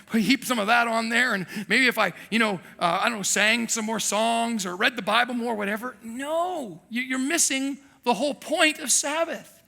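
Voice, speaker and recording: A man preaching a sermon as a pastor, telling animated, self-deprecating stories from his childhood in Thailand. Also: extremely high pitch, gravelly. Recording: long hall reverb.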